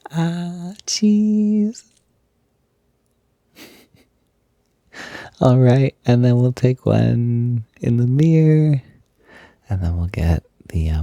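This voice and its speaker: A man playing an affectionate, smitten young roommate in an intimate roleplay audio, talking in a soft, breathy, gently hesitant and playful way. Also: fairly low pitch, no room sound, exaggerated voice. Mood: playful, excited. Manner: gentle, hesitant, giggly, softly doting. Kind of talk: intimate roleplay audio, casual improvised-sounding chat.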